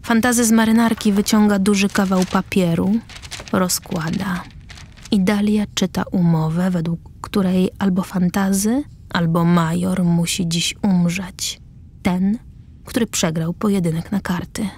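A woman's voice: mid-range voice, fairly even intonation, measured pace, darkish tone, slight background noise. A woman reading stage directions as the narrator of a scripted radio play.

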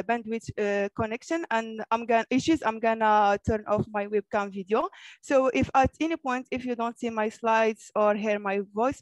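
A woman's voice: a little high, no room sound. A woman, giving a physics lecture over a video call on a headset, often saying "so yeah" between points. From Morocco. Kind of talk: online seminar talk.

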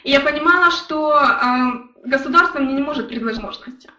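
Brief: young woman, high bright voice, earnest storyteller, roomy echo, a bit off-mic. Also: brisk, recorded from a distance. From Ukraine. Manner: earnest, storytelling, addresses chat viewers directly. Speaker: network marketing leader. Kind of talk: motivational live stream talk.

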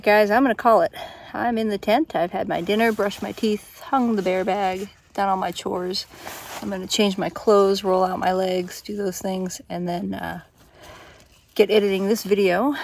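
A woman's voice: mid-range voice, vocal fry, a little hiss or hum. A woman in her fifties talking casually to a phone camera on a long-distance hike, chatty with fillers and restarts. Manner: chatty, plain-spoken, many fillers and restarts. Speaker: thru-hiker vlogger. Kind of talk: casual trail vlog to camera. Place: outdoors on a mountain meadow, later inside a small tent. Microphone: phone held at arm's length.

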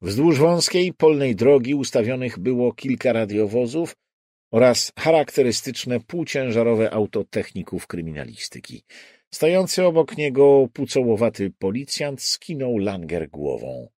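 A man's voice: mid-range voice, big pitch swings, measured pace, darkish tone, no room sound, studio quality. A man reading a crime novel as an audiobook narrator, in scripted narration.

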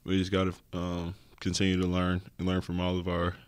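A young man, a basketball player, answering postgame questions at a press conference in a low-key, hesitant way with many fillers, speaking into a desk mic. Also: completely flat delivery, brisk, smooth, dry recording. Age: young adult. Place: press room with logo backdrop. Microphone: desk mic on stand.

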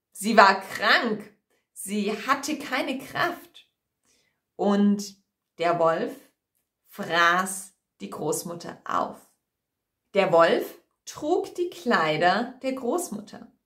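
A young woman teacher telling a story for language learners, deliberate and expressive, in a small room at home. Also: medium pitch. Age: young adult. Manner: deliberate, clearly expressive, repeats simply. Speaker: German teacher. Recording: roomy indoor echo.

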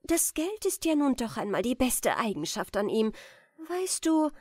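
A woman's voice: fairly high pitch, big pitch swings, measured pace, darkish tone, no room sound, studio quality, voice pushed up. A woman voicing a vain, mercenary young beauty in a fairy tale, with a haughty manner. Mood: smug, calculating. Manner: self-satisfied, haughty.

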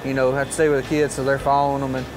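A man's voice: mid-range voice, little pitch movement, racing. A man talking casually and explaining his work as a wildlife officer. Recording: clear background noise.

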